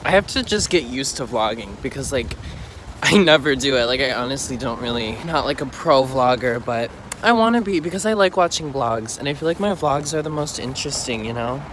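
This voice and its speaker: A young man vlogging casually on a phone at arm's length, walking city streets and chatting excitably with lots of "like". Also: medium pitch, fairly quick, slight background noise. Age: young adult. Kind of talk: casual vlog chat. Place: bedroom indoors, then city streets outdoors. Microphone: phone held at arm's length.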